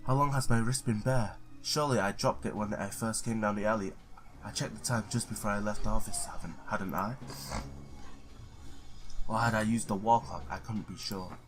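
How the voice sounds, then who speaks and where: A man's voice: fairly low pitch, normal speed, faint music behind. A man doing a let's play, reading visual novel text aloud, stumbling now and then and blurting his own reactions.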